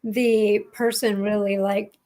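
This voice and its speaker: A woman hosting a casual radio interview, friendly and quick with short affirmations. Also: mid-range voice, level tone, a little mellow. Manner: friendly, many short backchannel yeses. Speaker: radio host.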